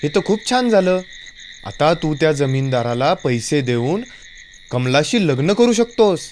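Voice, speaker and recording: A hearty, welcoming village friend from a cartoon folk tale, voiced by a man. The voice is somewhat high and swings expressively, with a chummy tone over faint night insects. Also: big pitch swings, unhurried, a little mellow, a little hiss or hum. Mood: encouraging. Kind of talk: children's fairy-tale cartoon acting.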